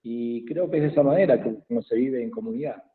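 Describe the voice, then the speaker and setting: A man whose first language is Quechua, speaking slowly and hesitantly as a guest in an online workshop, with many pauses and fillers. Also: mid-range voice. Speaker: invited speaker. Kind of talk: slow reflective talk in an online workshop. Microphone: video call. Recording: tinny phone-like call audio with long reverb.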